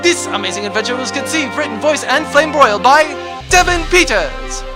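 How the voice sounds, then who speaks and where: A man's voice: extremely high pitch, animated, moderate pace. A man reading scripted audio drama credits as a mock-theatrical announcer. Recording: music behind the voice.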